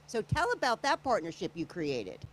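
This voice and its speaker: A woman's voice: mid-range voice, huge pitch swings, normal speed, poor audio. A woman hosting a live show in a casual chat, a chatty storyteller who talks up her guests. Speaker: podcast host.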